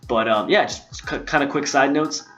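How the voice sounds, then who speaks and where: A man's voice: medium pitch, normal speed, a bit bright, dull audio, faint music behind. A young man talking casually to his laptop at home, rambling with lots of fillers, a little out of breath. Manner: rambling, filler-heavy, chatty. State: slightly out of breath. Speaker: vlogger. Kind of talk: casual talk to camera. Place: home room, indoors. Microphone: laptop built-in mic.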